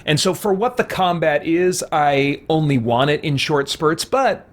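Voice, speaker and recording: A man's voice: fairly high pitch, animated, measured pace. A man hosting a let's play, giving casual commentary in a reflective, hesitant way.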